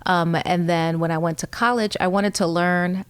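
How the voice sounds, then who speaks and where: A woman's voice: fairly low pitch, fairly even intonation, moderate pace, clean tone, no room sound. A woman in her forties from New York City, a native Spanish speaker, speaking earnestly and reflectively as an interview guest. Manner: reflective, earnest, many fillers. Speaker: guest, fire chief. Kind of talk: casual interview conversation.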